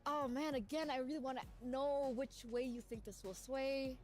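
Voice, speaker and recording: Teasing woman casting esports, kinda high voice. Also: steady tone, normal speed, faint music behind. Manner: teasing, playful. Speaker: esports caster. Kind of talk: playful esports commentary.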